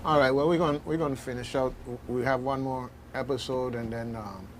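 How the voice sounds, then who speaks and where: A male radio host in casual on-air conversation. He talks haltingly in a mid-range voice, doubling back on words, with faint noise in the recording. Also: mid-range voice, steady tone. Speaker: radio talk show host.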